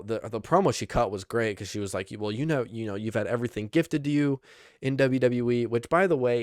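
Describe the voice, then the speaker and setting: Excitable young man recapping wrestling. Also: fairly low pitch, smooth, dry recording, clean studio sound. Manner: rambling, excitable, fillers and restarts. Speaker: podcast host.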